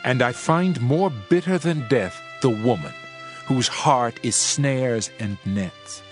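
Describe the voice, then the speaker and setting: A man playing a solemn, world-weary preacher in a dramatized scripture reading, with measured, archaic oratory. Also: medium pitch, lively intonation. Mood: solemn, reflective. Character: male preacher, solemn world-weary sage pondering wisdom and vanity. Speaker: narrator of a dramatized audio Bible. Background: music.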